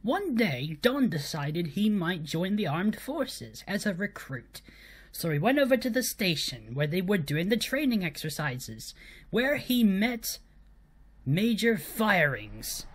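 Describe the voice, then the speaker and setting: Low-voiced woman, animated storyteller. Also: husky. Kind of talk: humorous scripted story narration.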